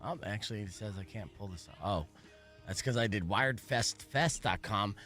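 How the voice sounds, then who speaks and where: A man's voice: lowish voice, moderate pace, sharp tone, faint music behind. A man hosting a radio show, chatting casually with a guest in a rambling way, with lots of fillers. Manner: laid-back, rambling with fillers. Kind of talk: casual on-air chat.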